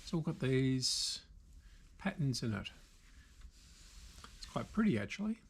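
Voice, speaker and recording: An Australian man talks through a repair job in a casual, unscripted way. His pace is slow and halting, as if working things out while he speaks. The pitch sits in an ordinary middle range for a man. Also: big pitch swings, gravelly, breathy edge. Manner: unscripted, halting, thinking aloud. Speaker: video host, radio repairer. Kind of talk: casual hands-on repair commentary. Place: indoor workshop.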